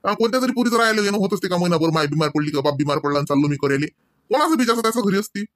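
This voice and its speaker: Man acting a high-pitched, fast-scolding village husband. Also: lively intonation, fairly bright tone, dry recording, clean studio sound. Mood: scolding, exasperated. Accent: rural Maharashtrian Marathi. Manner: hectoring, badgering with rhetorical questions. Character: cranky older village husband and father scolding his wife. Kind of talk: comic cartoon dialogue, domestic argument.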